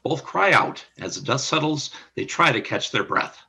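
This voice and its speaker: A man narrating a radio play in a measured, announcer-like way. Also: mid-range voice, sing-song, vocal fry, bright, forceful tone, husky.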